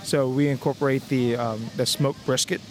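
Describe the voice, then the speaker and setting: A man's voice: mid-range voice, fairly even intonation, normal speed. A man from the United States with an American accent, a chef talking casually in an interview. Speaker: restaurant owner and chef interviewee. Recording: clear outdoor street and vehicle noise.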